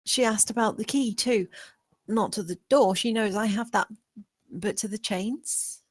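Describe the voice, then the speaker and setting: A woman's voice: medium pitch, a little slow, slightly rough, dry recording, clean studio sound. A woman playing a bold, scheming young maid in a screenplay table read. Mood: reporting, careful.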